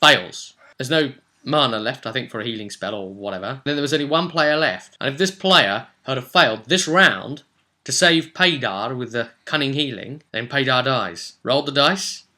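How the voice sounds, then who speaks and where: Guy game master chatting through his rpg session, bright punchy voice, animated storyteller. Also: medium pitch, normal speed. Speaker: game master and vlog host. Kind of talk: casual recap of a tabletop role-playing session.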